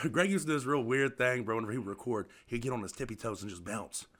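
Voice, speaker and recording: A man with a loud voice talks excitably in casual chat, full of slang and "bro". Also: medium pitch. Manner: fast, excitable, slangy, says "bro" a lot.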